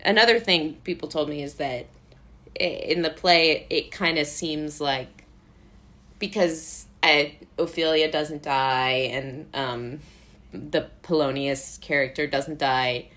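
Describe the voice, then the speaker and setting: An American woman with a lowish voice speaks as a guest in a casual interview. Her manner is informal and rambling, amused and quick to poke fun at herself. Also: a bit bright. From Brooklyn, United States.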